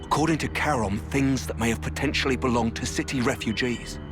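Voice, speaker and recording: An actor playing a formal, businesslike scout giving reports in a video game cutscene. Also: male voice, mid-range voice, brisk, music in the background, lightly acted. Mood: matter-of-fact.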